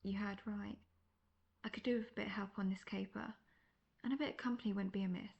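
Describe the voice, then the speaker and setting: Casting: actress as veteran female monster hunter, wry and self-assured, creaky mid-pitched voice, fantasy audio roleplay. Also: fairly even intonation, quick pace, muffled recording, natural voice. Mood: friendly, advising. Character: seasoned, cocky, world-weary female monster hunter, friendly mentor.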